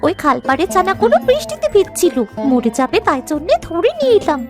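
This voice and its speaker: A woman's voice: extremely high pitch, big pitch swings, fairly quick, over music. A woman voicing an eager, stubborn little village girl in a folk-tale cartoon, insistent and childlike.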